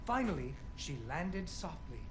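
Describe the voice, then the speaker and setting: A performer playing a playful parent telling a child a fairy tale in a video game, with dramatic, teasing pauses. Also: mid-range voice, very animated, a little hiss or hum, rough recording. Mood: dramatic storytelling. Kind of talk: storybook narration in a video game. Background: music.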